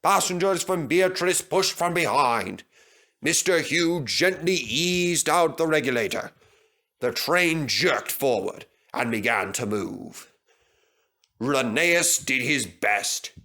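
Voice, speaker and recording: Male children's story reader: measured pace, lively pitch movement, expressive narration.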